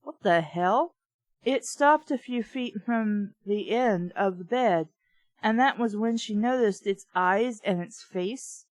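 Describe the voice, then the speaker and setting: A woman's voice: mid-range voice, slow pace, no echo. A woman reviewing a novel, reading passages aloud with wry asides and stumbling over names. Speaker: book reviewer.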